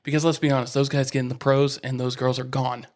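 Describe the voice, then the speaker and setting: A man's voice: medium pitch, little pitch movement, fast. An American man co-hosting a sports podcast in casual, bantering conversation.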